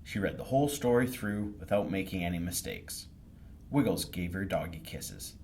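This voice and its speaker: Male storytime reader, low voice, very lively intonation, scripted children's narration, faint background noise. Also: moderate pace.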